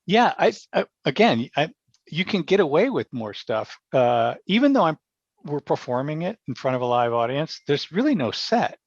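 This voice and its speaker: A man's voice: on the high side, very animated, moderate pace, dry recording, muffled recording. A man giving conversational, explanatory interview answers as a theatre director, often repeating and rephrasing himself. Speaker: radio theatre director, podcast guest.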